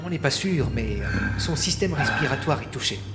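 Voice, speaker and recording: A man playing a nervous, excitable scientist's assistant in a French sci-fi audio drama, with a hesitant manner. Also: a little high, fairly quick, very noisy, close to an everyday voice. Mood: concerned. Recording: roomy echo, loud music behind.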